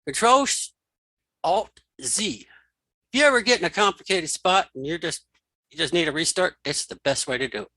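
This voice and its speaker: A man giving a casual spoken software walkthrough as an instructor, thinking aloud informally as he demonstrates. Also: on the high side, animated, normal speed, dry recording. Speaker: screen reader tutorial instructor.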